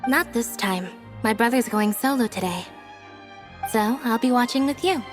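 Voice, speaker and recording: Unflappable deadpan girl, played by a woman; anime game, fairly high, matter-of-fact. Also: normal speed, over loud music, close to an everyday voice. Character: unflappable, laconic young female magician's assistant. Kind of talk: anime-style game voice acting.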